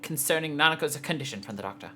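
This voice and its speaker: A woman's voice: low-pitched, expressive, rapid-fire, background noise. A woman game streamer casually commenting and reading out on-screen narration.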